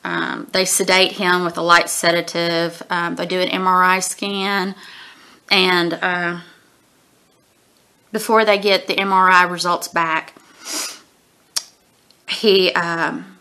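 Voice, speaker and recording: A woman's voice: lowish voice, flat delivery, slow pace, pauses often, pushed and bright. A woman in her forties with allergy sniffles, chatting through a casual book review at home with lots of ums, recorded on a webcam at desk distance. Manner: chatty, rambling, frequent ums and throat-clearing. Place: small room at home, indoors.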